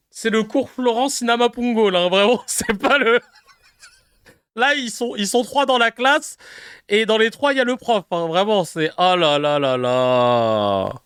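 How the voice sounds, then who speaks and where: A male streamer reacts to clips in loose, joking talk, laughing often and swearing. His voice sits slightly high, smooth and resonant. The sound is dry, close to the mic. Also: no room sound. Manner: animated, laughing, swearing, mocking. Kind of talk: casual live reaction commentary.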